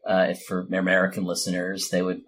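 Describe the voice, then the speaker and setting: A man's voice: deep voice, completely flat delivery, brisk, a bit bright, slight background noise. A man hosting a loose, unscripted conversation in a joking, interjecting manner. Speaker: podcast host.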